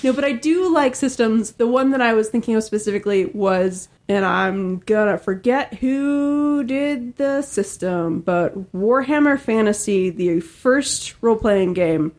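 Woman, podcast panelist, somewhat high pitch, casual, thinks aloud, qualifies her points. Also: a little slow, hardly any pauses, darkish tone.

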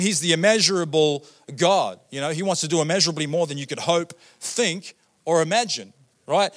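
Chatty New Zealand pastor preaching. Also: male voice, fairly high pitch, sharp tone. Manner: chatty, joking, many fillers and "right?" tags. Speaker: guest pastor. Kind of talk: sermon. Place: church auditorium.

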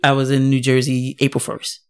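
A woman's voice: extremely low pitch, completely flat delivery, fairly quick, a little mellow, clean tone. A woman in her fifties with a New York accent, telling her life story in a casual podcast chat with many ums. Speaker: guest, host's mother. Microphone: podcast microphone.

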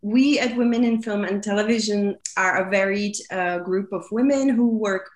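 A woman moderating an online panel over a video call, halting with fillers and restarts and laughing easily. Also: medium pitch, echoey room. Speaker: webinar moderator.

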